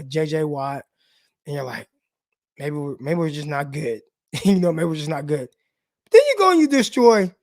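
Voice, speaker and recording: Raspy-voiced man talking sports. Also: high-pitched, no room sound, clean studio sound. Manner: loose, slangy, addresses viewers directly. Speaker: sports podcast host. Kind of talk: casual live-stream sports talk.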